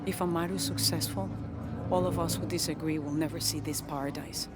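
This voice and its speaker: An actress playing a widowed rebel queen, speaking in a measured, authoritative way. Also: low voice, steady tone, loud music behind the voice, natural voice. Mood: grave. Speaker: rebel leader.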